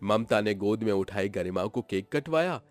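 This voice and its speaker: A man's voice: fairly low pitch, expressive, normal speed. A man narrating a scripted story in a dramatic storytelling style. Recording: music behind the voice.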